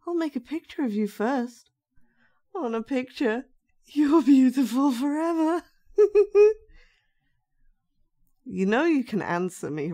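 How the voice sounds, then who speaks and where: Woman playing a slow, soft-toned sinister dollmaker. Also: a little high, exaggerated voice. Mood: giddy, unhinged. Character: unhinged, sweetly sinister young female dollmaker kidnapper. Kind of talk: scripted horror audio roleplay.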